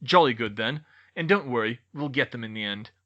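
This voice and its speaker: A man's voice: medium pitch, expressive, a little fast, fairly bright tone, highs cut off, close to an everyday voice. A man voicing a polite, genial but beleaguered middle-aged government boss with a wry manner, in an audiobook. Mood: regretful, firm. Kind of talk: audiobook character voice.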